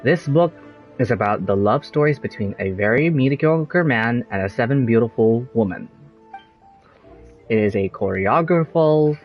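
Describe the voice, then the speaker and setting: A man's voice: medium pitch, animated, moderate pace. A man reading game dialogue aloud, stumbling over longer words. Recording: slightly dull sound, faint music.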